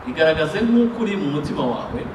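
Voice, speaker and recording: A man interpreting a sermon line by line, halting, with fillers and restarts. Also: fairly high pitch, very animated, recorded from a distance. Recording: echoey room, picked up from afar, noisy.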